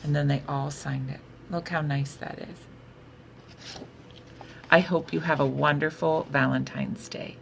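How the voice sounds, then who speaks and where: A woman reading a picture book aloud for children in an expressive, unhurried storytelling manner. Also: deep voice, vocal fry. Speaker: storytime reader. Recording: noticeable background noise.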